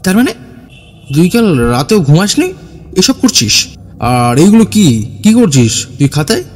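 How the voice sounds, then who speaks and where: Man acting an exasperated young friend, loud and high. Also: big pitch swings, a little slow. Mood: irritated scolding. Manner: exasperated, emphatic questioning. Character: irritated, worried young male friend, scolding and sceptical.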